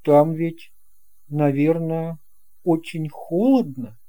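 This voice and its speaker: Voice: medium pitch, slow pace, warm tone, close to an everyday voice. A narrator voicing a curious, earnest young boy, a little cautious, in an audio fairy tale. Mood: doubtful.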